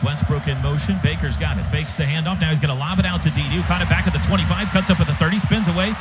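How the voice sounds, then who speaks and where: A man's voice: on the high side, very fast. An American man calling live football on the radio in clipped phrases that build with the play. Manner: clipped phrases, building excitement as plays unfold. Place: stadium radio booth. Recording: dull radio broadcast, loud stadium ambience. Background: loud outdoor stadium noise.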